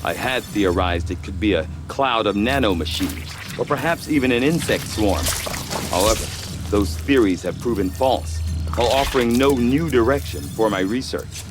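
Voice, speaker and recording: A man playing an erudite, self-assured scientist in a video game, speaking formally and with measured precision. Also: lowish voice, expressive, lightly acted. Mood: clinical, intrigued. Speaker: scientist, autopsy narrator. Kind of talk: scripted video game cutscene acting. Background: music.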